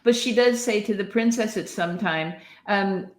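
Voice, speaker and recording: A woman's voice: medium pitch, moderate pace, slight background noise. A middle-aged woman co-hosting a podcast, discussing literature casually in an emphatic, opinionated way that wanders into tangents. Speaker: podcast co-host.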